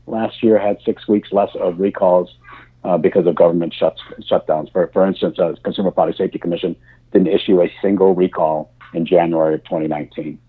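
A deep-voiced man whose first language is German, talking slowly through his answers with a lot of ums and restarts. His voice is husky and comes through a thin, phone-like line. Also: fairly even intonation. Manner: halting, many fillers and restarts. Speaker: insurance industry guest. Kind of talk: podcast interview answers.

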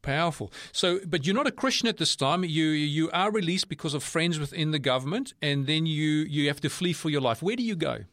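Higher-voiced male interviewer. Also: animated, brisk, few pauses, a bit bright, no room sound, studio quality. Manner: affirming, short responses. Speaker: host. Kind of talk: interview questions.

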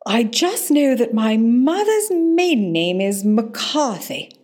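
A woman's voice: on the high side, very animated, slow pace, darkish tone, exaggerated voice. A woman playing a polite, lonesome middle-aged actress in hospital, in a radio play. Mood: reserved. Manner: polite, modest. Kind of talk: amateur radio play acting.

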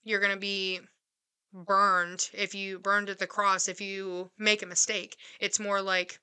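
A woman in her twenties speaks earnestly and reassuringly, and her pace quickens when she gets excited. Her voice is very bright and sharp-edged, smooth and clear in tone. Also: mid-range voice. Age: 20s. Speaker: podcast co-host. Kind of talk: casual chat.

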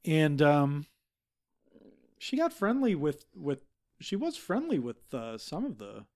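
A man playing a halting, flustered expert. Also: on the high side, huge pitch swings, darkish tone, dry recording, studio quality, exaggerated voice. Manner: halting, full of fillers and restarts. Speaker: guest expert. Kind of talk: improvised comedy podcast.

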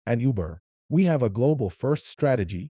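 A man in his fifties from Iran with an Arabic-influenced accent, explaining his career in a measured way as an interview guest. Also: mid-range voice, expressive, dark tone, dry recording, studio quality. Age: 50s. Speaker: interviewee, localization leader. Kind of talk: read interview answers.

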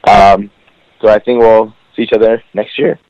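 A man's voice: on the low side, little pitch movement, moderate pace, no room sound, highs cut off. A man whose first language is Farsi, a professional wrestler talking as a guest in a casual podcast interview, rambling with many fillers.

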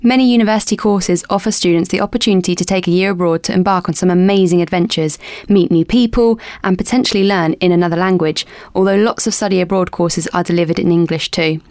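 A woman's voice: lowish voice, steady tone, fast, a little mellow, no room sound, clean studio sound. A woman hosting a university podcast, reading a scripted welcome and then chatting with a guest in an upbeat, encouraging way. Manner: upbeat and encouraging, reacting with enthusiasm. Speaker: podcast host. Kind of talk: scripted introduction then casual interview.

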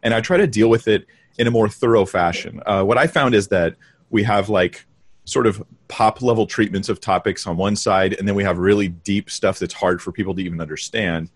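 Earnest low-voiced pastor. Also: male voice, fairly quick, breathy edge. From Southern California. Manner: earnest, explanatory. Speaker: pastor and online Bible teacher. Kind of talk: interview guest answering questions. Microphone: video call.

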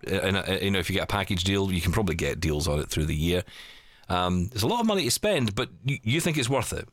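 Scottish guy in his 40s, really deep voice, rambly chatty podcast host, dry close mic. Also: animated, fast talker, no echo. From Scotland. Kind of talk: casual chat.

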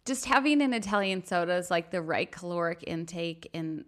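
A woman's voice: fairly low pitch, moderate pace. A woman co-hosting a podcast in casual chat, with dry, teasing banter. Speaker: podcast co-host.

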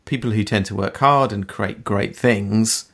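A soft-spoken middle-aged man hosts, asking his questions in a slow, considered way. His voice is gravelly with a husky, breathy edge. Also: on the low side. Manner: measured, thoughtful, soft-spoken. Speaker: podcast host. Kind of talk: interview questions.

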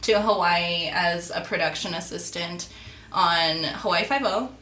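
A woman's voice: lowish voice, a little slow, bright, forceful tone, reverberant. A twenty-six-year-old woman co-hosting a podcast, telling her story in a casual, self-deprecating way with laughs and fillers. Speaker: podcast co-host. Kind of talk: casual chat.

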